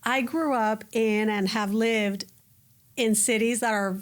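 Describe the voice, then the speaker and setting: A woman's voice: a little high, slow. A woman from Colombia, a native Spanish speaker, with a Colombian accent, chatting as an interview guest and acting out quoted voices. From Colombia (Cali). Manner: animated, restarts and acts out quoted voices. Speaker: guest, educator. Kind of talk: casual interview chat.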